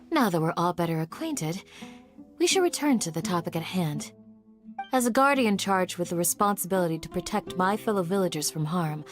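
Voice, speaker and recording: A woman playing a gracious but firm young village guardian in a video game, speaking politely and formally in a measured way. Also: on the low side, lightly acted.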